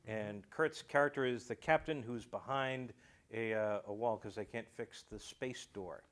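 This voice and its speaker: A man with a fairly low voice talks casually as a guest who makes a radio show. He speaks haltingly, often restarting mid-phrase as he explains. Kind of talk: casual talk-show conversation.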